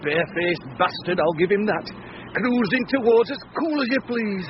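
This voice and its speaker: Blustering, high-voiced boatman. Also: lively intonation, moderate pace. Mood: bitter, vengeful. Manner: blustering, swearing, sarcastic. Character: furious gruff shipwrecked boat owner, vengeful and scornful. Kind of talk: radio drama acting. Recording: muffled radio recording with loud outdoor noise.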